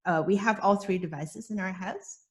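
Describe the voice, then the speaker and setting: A woman's voice: medium pitch, normal speed. A middle-aged woman from Hong Kong with a slight British accent speaks as a panelist on a video call. She reflects with rhetorical questions and restarts her sentences. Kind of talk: webinar panel discussion.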